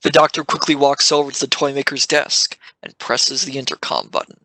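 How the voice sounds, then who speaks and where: A man's voice: medium pitch, fairly even intonation, brisk, sharp tone, no room sound, rough recording. A man reading scripted narration for an audio drama.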